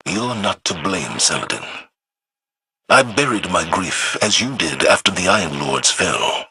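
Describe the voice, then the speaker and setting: An actor playing a weary, remorseful warrior commander in a video game, earnest and heavy in delivery. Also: male voice, deep voice, sing-song, unhurried, bright, forceful tone, slightly rough, no echo, full character voice. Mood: somber.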